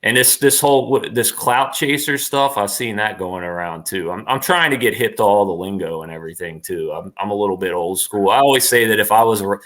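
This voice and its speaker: A man's voice: fairly low pitch, fairly quick, hardly any pauses, a bit bright. A middle-aged man chatting casually as an interview guest on a livestream, emphatic and repeating points for stress. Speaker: interview guest, actor and former professional wrestler.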